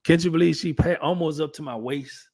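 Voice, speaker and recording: A man's voice: on the high side, fairly quick, creaky, darkish tone. A man in his fifties hosting a casual chat, rambling with many restarts and fillers. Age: 50s. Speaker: podcast host.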